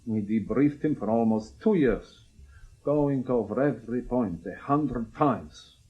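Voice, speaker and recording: A man acting in a radio drama as a wary, probing Soviet KGB major, speaking formally and deliberately in clipped phrases. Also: medium pitch, animated, highs cut off, lightly acted. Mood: insistent.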